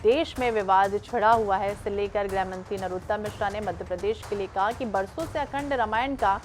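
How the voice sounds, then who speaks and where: A woman's voice: medium pitch, brisk, soft background music. A woman anchoring breaking television news, repeating headlines with emphasis.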